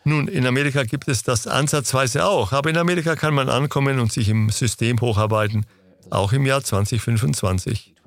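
A man answering interview questions in a steady, lecturing manner. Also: medium pitch, a little fast. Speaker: interview guest.

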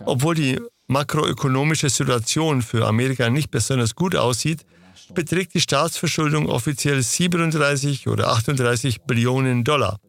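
A man answering interview questions in a steady, lecturing manner. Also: mid-range voice, moderate pace, creaky. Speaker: interview guest.

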